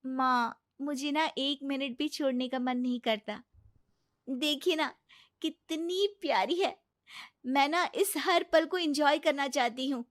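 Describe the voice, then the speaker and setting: A woman voicing a gentle, earnest young daughter-in-law and new mother in a story. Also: high-pitched, slow, dry recording, studio quality, pitched above the performer's own voice. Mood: tender.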